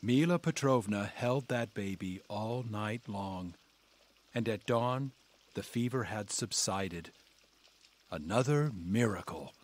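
A man's voice: fairly low pitch, slow pace. An actor playing an admiring village healer's assistant, earnestly telling her story in an audio drama. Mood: quiet wonder.

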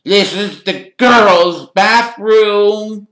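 A melodramatic ghost girl from a storybook, pitched very high. The words drag out in tearful, self-pitying wails, with a roomy echo around them. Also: a bit bright. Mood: suspicious, accusing. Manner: tearful, drawn-out wailing.

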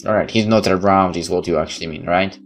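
Low-pitched man doing a let's play. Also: steady tone, fast. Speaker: gaming video host. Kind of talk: let's play, reading game text aloud with casual comments. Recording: faint game music behind the voice.

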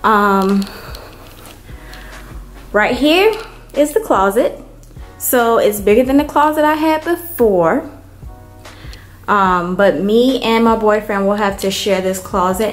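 A woman vlogger giving a casual, unhurried walkthrough of an empty apartment, filmed on a handheld camera. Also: medium pitch, animated, soft background music. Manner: unhurried, chatty, many ums. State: hot and worn out from moving.

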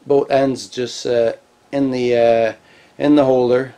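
A middle-aged man casually explaining shop work as a hobby machinist, in a halting, hands-on way. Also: mid-range voice, flat delivery, mellow. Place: cluttered garage machine shop. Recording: noisy workshop ambience.

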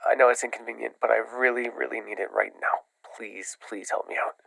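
A young man acting a desperate, evasive caller, speaking haltingly with a gravelly voice in an immersive audio roleplay. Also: mid-range voice, steady tone, a bit bright, no echo. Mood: pleading, strained. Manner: halting, pleading. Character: desperate, evasive man pleading over the phone.

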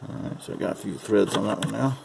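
A man's voice: lowish voice, fast, vocal fry, background noise. A man talking casually through a hands-on repair job as he works, in an unscripted, folksy how-to style. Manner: casual, folksy instruction, calling parts "her". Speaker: amateur mechanic giving a repair demonstration. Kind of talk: unscripted how-to narration while working. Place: at a car's open engine bay.

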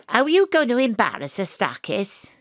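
An actress with a Welsh accent playing a wry, exasperated psychic private detective in an audio drama. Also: female voice, on the high side, huge pitch swings, normal speed, a bit bright, dry recording, studio quality. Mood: amused, teasing. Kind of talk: audio drama acting, dialogue and first-person noir narration.

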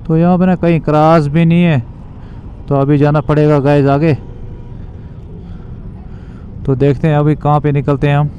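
A man's voice: fairly high pitch, flat delivery, brisk, mellow, muffled recording. A young man vlogging casually while riding a motorbike through city traffic, talking loosely and repetitively to his viewers. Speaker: moto vlogger.

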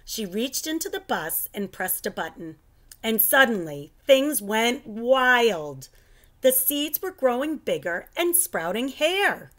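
A middle-aged woman reading a children's picture book aloud in an expressive, deliberate storytelling manner, at home. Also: a little high. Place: living room at home.

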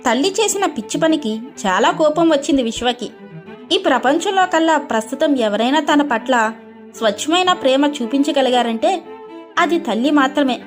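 A woman storyteller reading a scripted story narration. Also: sits high.

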